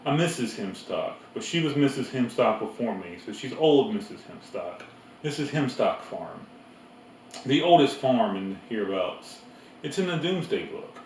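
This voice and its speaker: A middle-aged farm mother from a storybook, read by a man, brisk and knowing. The delivery is plain-spoken and matter-of-fact. It sounds roomy and away from the mic, with faint noise. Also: mid-range voice, big pitch swings, darkish tone, distant mic.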